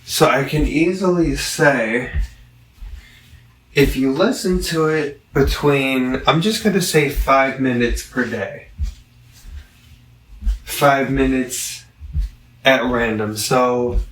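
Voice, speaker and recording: Casual male vlogger, unhurried and rambling review delivery with halting self-corrections, recorded roomy and distant from the mic. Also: mid-range voice, a bit bright. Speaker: amateur product reviewer.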